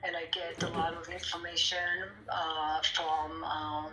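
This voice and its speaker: Halting woman. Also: low-pitched, little pitch movement, bright, forceful tone, a little hiss or hum. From Israel. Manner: halting, restarts often. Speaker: caller. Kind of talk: casual chat.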